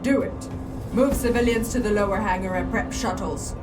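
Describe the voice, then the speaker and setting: A woman's voice: mid-range voice, normal speed, recorded from a distance, music in the background, close to an everyday voice. A woman playing a fierce, defiant colonel commanding troops in a sci-fi war audio drama. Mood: urgent command.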